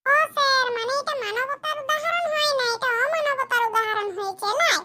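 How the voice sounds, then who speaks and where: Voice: very high voice, moderate pace, pushed and bright, full character voice. A performer voicing a cheeky, boastful schoolchild in a comedy cartoon, talking in a cocky, argumentative, colloquial way. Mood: earnest, cocky. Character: cheeky, boastful Bengali schoolchild, dim but sly.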